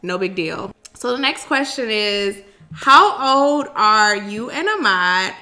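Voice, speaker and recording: A woman's voice: mid-range voice, animated, slow, pushed and bright. A woman in her thirties with an American accent, chatting casually as she answers questions as a vlog co-host. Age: 30s. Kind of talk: casual Q&A chat.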